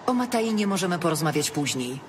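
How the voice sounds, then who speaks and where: A woman's voice: lowish voice, sing-song, a little fast, slight background noise. An actress playing a tough, sardonic woman ranger in a fantasy audiobook drama. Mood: serious. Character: tough, sardonic female ranger, hardened warrior.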